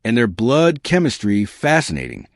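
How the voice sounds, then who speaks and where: A focused woman scientist in her thirties, read by a male narrator, talks with curiosity and assurance in a science fiction audiobook. The delivery is animated and recorded dry. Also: mid-range voice, normal speed, creaky, dry recording. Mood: fascinated. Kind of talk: audiobook character dialogue.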